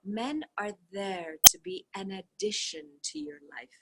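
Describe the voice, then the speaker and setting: A woman reads a nonfiction book aloud in a read-along style. Her pitch moves in lively swings as she delivers quoted questions and replies expressively. The voice has a breathy, slightly husky grain. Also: a little slow.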